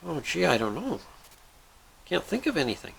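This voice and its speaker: A man's voice: fairly high pitch, expressive, quick pace, creaky, a bit bright, breathy edge, pitched above the performer's own voice, full character voice, rough recording. A man playing a timid, apologetic ordinary fellow in a told joke, with hesitant, stammering speech. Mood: unsure.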